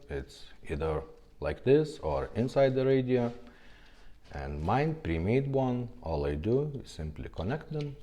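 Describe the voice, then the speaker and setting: A man who speaks English as a second language, giving an informal hands-on tutorial in a halting way, often checking in at the ends of phrases. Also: medium pitch, dark tone. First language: not English. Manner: halting, repeatedly ending phrases with a checking "okay?". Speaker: video tutorial host.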